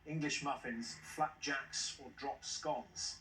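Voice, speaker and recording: A man hosting a television quiz show, jovial and quick to chuckle at the contestants. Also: mid-range voice, fairly even intonation, fairly bright tone. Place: TV studio. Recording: echoey studio, mic at a distance, faint hiss.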